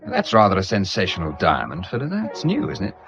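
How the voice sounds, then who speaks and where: An actor playing a debonair, sardonic young English gentleman in a period radio drama, with dry, flippant upper-class wit. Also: on the low side, huge pitch swings, fast talker, gravelly, lo-fi sound, music in the background, full character voice. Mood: dry, defiant.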